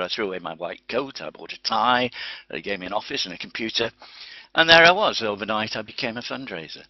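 A man's voice: on the low side, no room sound. An older man with a Welsh accent, a guest speaker giving a chatty, anecdotal webinar presentation from his home study over a webcam. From Wales. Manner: chatty, anecdotal, with frequent restarts. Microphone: webcam on computer. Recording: dull lo-fi webinar audio.

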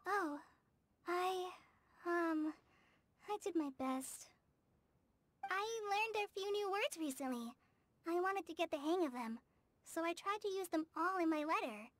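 Shy, very high-pitched teenage girl. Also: animated, unhurried, long pauses, no room sound. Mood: flustered, hesitant, then earnest. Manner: hesitant, stammering, apologetic. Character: shy, earnest, eager teenage girl ranger trainee. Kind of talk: video game character dialogue.

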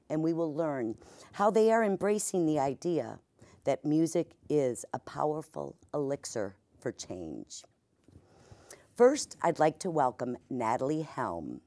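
Older lady hosting a local tv talk show, deep voice, measured intros, lapel mic. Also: mellow. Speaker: host. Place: TV studio set.

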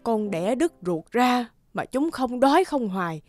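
Worried elderly wife, halting cartoon delivery with musical backing. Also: female voice, fairly high pitch, very animated, darkish tone, faint music behind. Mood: resigned. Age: older. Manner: sighing, hesitant. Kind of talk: cartoon voice acting.

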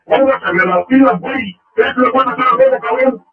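Man, Mexican accent, extremely high voice-changed pitch, aggressive, profane, domineering, echoey phone recording. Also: moderate pace, narrow, tinny audio, soft background music. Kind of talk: threatening phone call.

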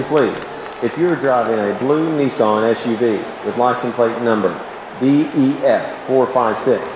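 A man in his forties teaching public address announcing, reading sample announcements into a handheld microphone in a measured, clear delivery. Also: medium pitch, reverberant, in a large hall. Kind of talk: instructional talk with sample PA announcements. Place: empty school gymnasium and green-screen crowd set.

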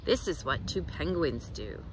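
A middle-aged woman reads a picture book aloud to children outdoors, with expressive, deliberate phrasing. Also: low voice, noisy background. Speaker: children's librarian, storytime reader. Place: outdoors in a leafy garden.

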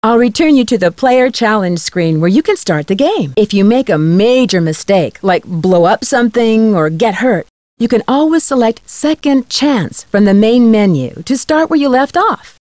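A woman's voice: a little high, lively intonation, normal speed, a little mellow, no echo, studio quality, lightly acted. An actress playing a curious, upbeat young woman detective in a video game, with an inquisitive, scripted delivery. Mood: helpful. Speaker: game protagonist.

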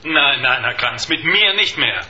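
An actor playing a defiant, cornered racing driver speaking on a telephone in a radio play. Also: male voice, medium pitch, expressive, measured pace, dull audio. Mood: angry, defiant. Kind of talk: German radio play acting. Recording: sharp, echoey, band-limited phone sound.

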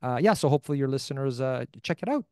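A man's voice: medium pitch, expressive, very fast, warm tone, clear, resonant tone, no echo. A middle-aged Canadian man talking casually as an interview guest in a rambling way, into a close desk microphone in a small room. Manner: rambling, peppered with "like" and "you know". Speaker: podcast host as interview guest. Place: small indoor room, video call. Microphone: desk microphone close in front.

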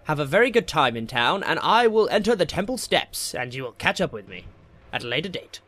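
A man's voice: fairly high pitch, big pitch swings, fairly quick, fairly bright tone, dry recording. A man hosting a gaming video with casual Let's Play commentary, talking to himself in jokey, slangy asides. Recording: game music behind the voice. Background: video game music.

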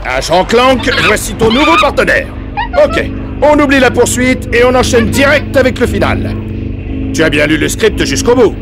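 Squeaky raspy diva director, barks orders, all over the place, music under it. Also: male voice, very animated, moderate pace, exaggerated voice. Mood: bossy. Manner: bossy, theatrical. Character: bossy hammy male film director, a bit of a diva. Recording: game audio with music bed.

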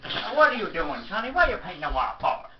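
A man's voice: high voice, very animated, quick pace, distant mic. A man voicing a feisty, cranky old grandpa who boasts and fusses, in a comedy skit, recorded lo-fi in a roomy space away from the mic with noise. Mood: grumbling. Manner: creaky old-man voice, boastful taunts, fussing.

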